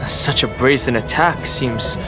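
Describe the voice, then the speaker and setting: Calm, serious young adventurer voiced by a man. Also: medium pitch, unhurried, natural voice, poor audio. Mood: calm, thoughtful. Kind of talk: video game voice acting. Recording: game music behind the voice.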